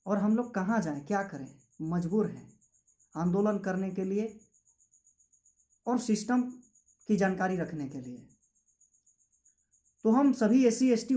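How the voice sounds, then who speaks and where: High-voiced young man, impassioned political speaker. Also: a little mellow. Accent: Jharkhand Hindi. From Jharkhand, India. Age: young adult. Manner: impassioned, repetitive rhetorical phrasing. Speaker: student activist. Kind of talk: political speech.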